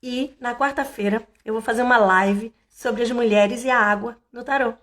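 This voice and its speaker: A woman from Salvador, hoarse, hosting a casual tarot live stream in a chatty, meandering way with many fillers and restarts. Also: on the high side, fairly even intonation, normal speed, a bit bright. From Salvador, Bahia, Brazil.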